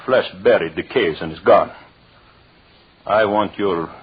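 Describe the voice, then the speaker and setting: An actor playing a vengeful, mocking mad scientist and husband in formal, theatrical diction, in the manner of old-time radio horror. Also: male voice, fairly low pitch, unhurried, slightly rough. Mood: cold, vengeful menace. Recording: muffled old radio broadcast recording.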